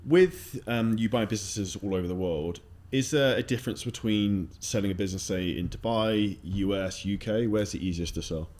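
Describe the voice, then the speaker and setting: A man's voice: lowish voice, a little mellow. A man hosting a casual interview, talking in a loose, swearing style. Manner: fast, casual, swears freely. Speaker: podcast host.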